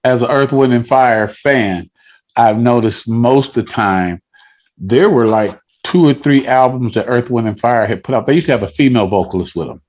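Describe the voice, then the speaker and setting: A man's voice: medium pitch, moderate pace, a little mellow. Conversational male host on a video call. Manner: conversational, knowledgeable music fan. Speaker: radio show host. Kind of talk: casual interview on a video call.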